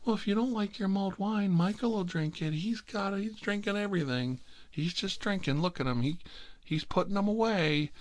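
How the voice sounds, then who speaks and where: A man's voice: high-pitched, normal speed, slight background noise, pitched above the performer's own voice, natural voice. A man voicing a nagging, needling elderly mother in an exaggerated, pestering way while telling a story.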